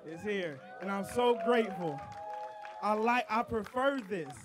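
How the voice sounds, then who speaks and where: A man, a rapper, chatting loosely and excitedly with his audience between songs at an outdoor backyard show, profane and quick in bursts. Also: high voice, over music. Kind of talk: casual banter with a live audience.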